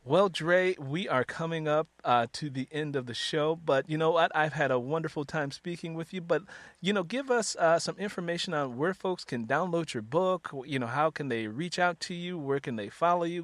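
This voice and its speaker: A man's voice: a little high, expressive, fairly quick, fairly bright tone, no room sound. A man hosting a conversational literary interview podcast, chatty and wordy, repeating phrases for emphasis.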